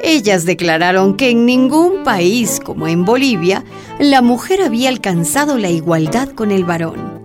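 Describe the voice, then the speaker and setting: A woman's voice: medium pitch, lively intonation, normal speed, over music. A woman reading scripted narration for a radio drama.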